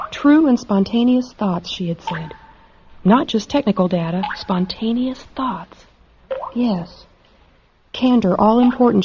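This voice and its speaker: A woman's voice: mid-range voice, darkish tone, close to an everyday voice. Woman acting anxious, dutiful doctor, muffled recording. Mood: pensive, self-doubting. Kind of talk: radio drama acting. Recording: muffled lo-fi audio with hiss.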